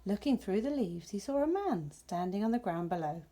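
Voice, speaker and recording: A woman gently and expressively narrating a children's story as its reader. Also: medium pitch, animated, moderate pace.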